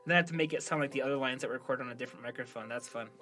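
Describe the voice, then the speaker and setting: A man's voice: mid-range voice, racing, pushed and bright, faint music behind. A man hosting a podcast in casual chat, speaking in an emphatic, plain-spoken, informal way. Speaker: podcast host and audio drama producer.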